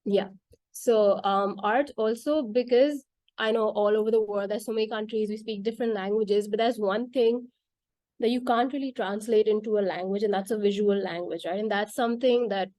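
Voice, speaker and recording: A woman's voice: mid-range voice, steady tone, moderate pace, a little mellow, no room sound. A woman whose first language is Urdu, earnestly explaining why art matters for children, with frequent "like" and "right?" fillers. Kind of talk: casual explanatory talk.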